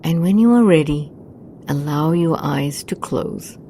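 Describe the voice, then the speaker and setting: A woman narrating a scripted guided meditation in a soothing, hushed, unhurried manner. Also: deep voice, lively intonation, a little hiss or hum.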